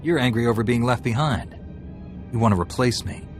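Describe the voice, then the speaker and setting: An actor playing a confident, defiant Jedi warrior in a video game, blunt and confrontational. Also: male voice, mid-range voice, brisk, soft background music, lightly acted. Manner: blunt, confrontational, self-assured. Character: confident, defiant young male Jedi warrior turning dark. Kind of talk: cinematic video game acting.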